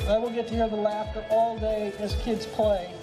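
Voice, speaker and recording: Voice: medium pitch, level tone, normal speed, lightly acted. A narrator voicing a playground field with a heart and soul in a scripted promotional video, sincere and inviting. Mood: heartfelt, inviting. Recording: loud music bed. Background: loud music.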